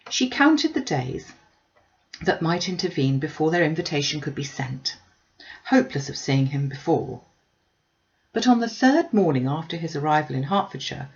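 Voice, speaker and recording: Low-voiced woman reading a classic novel. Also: vocal fry, highs cut off. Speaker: audiobook reader. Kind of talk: scripted narration of a classic novel.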